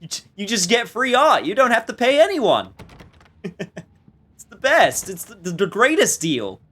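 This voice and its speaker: Male streamer, slightly high voice, loose chatty game commentary with joking tangents. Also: very animated, normal speed, fairly bright tone. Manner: rambling, jokey asides. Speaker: livestreamer.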